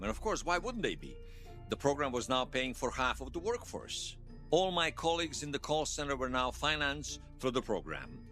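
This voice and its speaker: A man's voice: mid-range voice, pushed and bright. An actor playing a wry, folksy Bosnian man who tells his past in an audio drama interview. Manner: wry storytelling with colourful folk sayings. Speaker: storyteller being interviewed.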